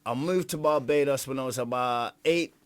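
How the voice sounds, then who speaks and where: A man's voice: medium pitch, normal speed, no echo. A British man from Coventry chatting casually as an interview guest, slangy and emphatic, often repeating his phrases. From Coventry, England. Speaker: interview guest, music artist. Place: radio studio.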